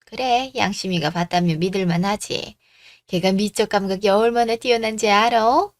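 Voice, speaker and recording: A woman's voice: mid-range voice, moderate pace, no room sound, clean studio sound, lightly acted. A woman voicing a haughty, condescending middle-aged future mother-in-law in a radio story drama. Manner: condescending, presumptuous.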